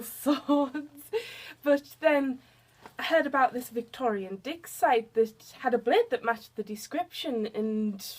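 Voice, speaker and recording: A young female researcher , played by a woman, speaks slowly in a fairly high voice. She is curious but hesitant, rambling and apologetic, as in an audio drama. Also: husky.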